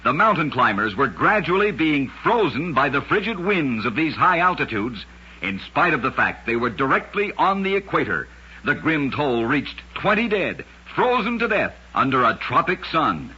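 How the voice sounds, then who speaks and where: A dull, vintage radio recording of a man narrating strange tales. His voice is markedly rough and forceful. He delivers each scripted line with a dramatic storyteller's emphasis. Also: on the high side, very animated, moderate pace. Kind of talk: scripted old-time radio oddity narration.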